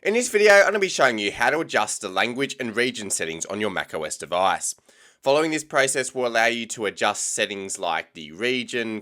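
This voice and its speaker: Low, bright-toned Australian man explaining. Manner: casual explaining with frequent ums. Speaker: tech tutorial host. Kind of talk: screen-recorded software tutorial.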